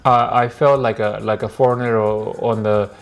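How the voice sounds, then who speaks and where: A man's voice: lowish voice, steady tone, normal speed. A man talking on his podcast in a casual, hesitant way, full of fillers and restarts. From Peru. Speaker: podcast host. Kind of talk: casual solo podcast talk.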